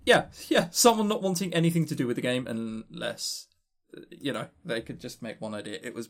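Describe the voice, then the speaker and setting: A man co-hosting a podcast, chatting casually and opinionatedly about games in a halting, animated way. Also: medium pitch. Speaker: podcast co-host.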